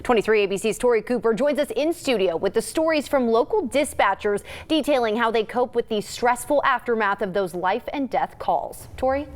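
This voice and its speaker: A woman's voice: on the high side, lively intonation, brisk, few pauses. An American woman anchoring television news, reading a scripted introduction in a studio.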